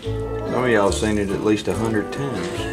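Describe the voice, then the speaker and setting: A man's voice: on the low side, moderate pace. A man, the host's husband, bantering playfully and folksy in a home kitchen. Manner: playful, tall-tale teasing, folksy. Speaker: husband, co-host. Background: background music and sizzling.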